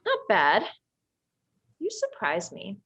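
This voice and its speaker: A woman's voice: mid-range voice, huge pitch swings, takes it slowly, a bit bright, husky, no echo, full character voice. An actress playing a sly, teasing, unfazed young woman in a dramatized script reading.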